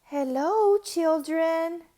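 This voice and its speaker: A woman reader voices a kindly woman schoolteacher from a children's book. She sounds sweet and gentle, high and lilting, and speaks very slowly. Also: exaggerated voice.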